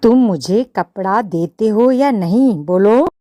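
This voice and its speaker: A woman's voice: mid-range voice, animated, takes it slowly, a little mellow, no room sound, clean studio sound. A woman voicing a cheeky, bold little male mouse in a children's story, demanding and chanting threats in a sing-song way. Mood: angry. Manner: sing-song chanting, pleading then defiant.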